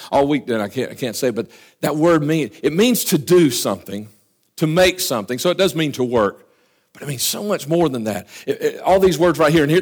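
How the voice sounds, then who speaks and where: A man preaching a sermon with fervor, often dropping to quiet asides. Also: mid-range voice. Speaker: pastor. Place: church.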